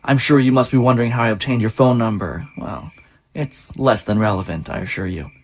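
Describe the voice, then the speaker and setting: A man playing a composed anonymous benefactor in an audio drama, speaking in a formal, measured and cryptic manner. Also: mid-range voice, natural voice.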